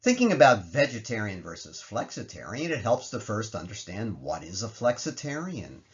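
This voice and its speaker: A man's voice: fairly low pitch, wildly swooping pitch, moderate pace. A middle-aged man, a medical professor, narrating a slide lecture in plain language with emphatic phrasing and rhetorical questions, on a slightly dull recording. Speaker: medical professor giving a presentation.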